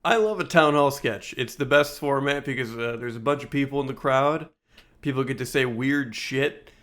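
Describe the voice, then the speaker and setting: A man's voice: mid-range voice, normal speed, a bit bright. A man co-hosting a podcast in loose, casual conversation with a dry joking manner. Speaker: podcast co-host. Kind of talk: casual chat.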